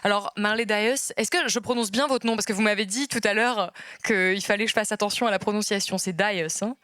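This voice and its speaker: A woman's voice: mid-range voice, a little fast, a bit bright, no room sound, clean studio sound. A woman moderating a panel, speaking spontaneously and conversationally as she addresses her guests.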